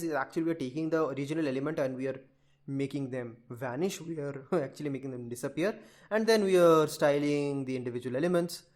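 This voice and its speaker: A man giving an informal screen-share coding tutorial, rambling and chatty as he talks straight to his viewers. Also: medium pitch. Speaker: programming tutorial presenter.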